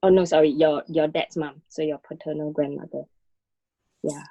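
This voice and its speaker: Lady artist chatting, kinda hesitant n self-conscious, mellow voice, dull muffled audio. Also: low voice, flat delivery, normal speed. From Singapore. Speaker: artist in dialogue with a fellow artist. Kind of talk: casual, reflective conversation.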